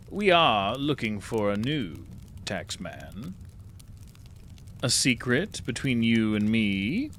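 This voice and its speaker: A man's voice: medium pitch, fairly bright tone, slight background noise. A man voicing a pampered, urbane house cat who hosts midnight tales in a confiding, pompous, theatrical way. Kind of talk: theatrical storytelling host narration.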